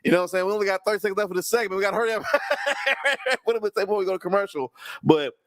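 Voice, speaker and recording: A man's voice: high voice, racing, fairly bright tone, dry recording. A man co-hosting a casual wrestling review, with a stuffy nose and a rambling way of talking full of likes and restarts. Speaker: co-host. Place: home in Houston.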